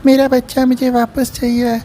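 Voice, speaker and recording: A woman's voice: high voice, flat delivery, normal speed, dark tone, slight background noise, close to an everyday voice. A woman voicing an ashamed, contrite mother who pleads in an amateur cartoon. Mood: pleading. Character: ashamed mother begging forgiveness for her violent son. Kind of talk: amateur cartoon voice acting in Urdu.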